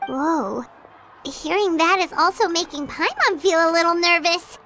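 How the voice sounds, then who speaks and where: Brief: a cheerful little fairy sidekick, squeaky, lively, over clear game music. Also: female voice, a little slow, exaggerated voice. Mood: awed. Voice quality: high, squeaky and bubbly, talks about herself in third person. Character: tiny floating fairy companion, cheerful and excitable. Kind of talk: video game voice acting.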